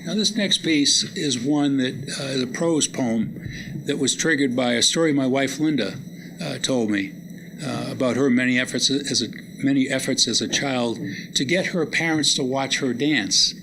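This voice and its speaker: Middle-aged guy doing a poetry talk, mid-pitched voice, pretty noisy room recording. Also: normal speed. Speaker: college lecturer and poet presenting readings. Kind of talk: public talk with recited poems. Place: live lecture event before listeners. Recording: noisy live hall recording.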